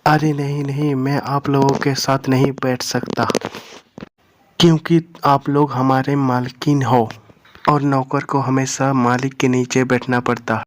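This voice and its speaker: A humble, eager young servant from a cartoon story, voiced by a man, deferential and earnest in tone. The pitch is mid-range and the recording carries some background noise. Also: level tone, a little slow, natural voice. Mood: polite, earnest.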